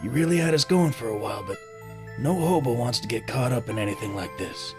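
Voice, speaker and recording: An actor playing a gruff, plain-spoken leader of a hobo gang in an audio drama. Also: male voice, mid-range voice, expressive, fairly quick, music in the background, natural voice. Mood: steady, reassuring.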